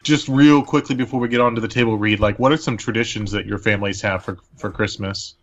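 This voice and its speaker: A man's voice: lowish voice, quick pace. A man hosting a casual podcast chat, recorded with slightly dull, lo-fi audio. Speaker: podcast host.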